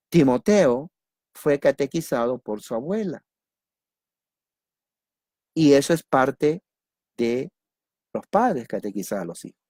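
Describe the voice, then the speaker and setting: Peruvian male preacher, mid pitch, dry close sound, lively teacher who quizzes the room. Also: no echo. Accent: Peruvian Spanish. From Peru. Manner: repeats key words, asks and answers his own questions; lively, jokes with listeners. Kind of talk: teaching sermon on doctrine.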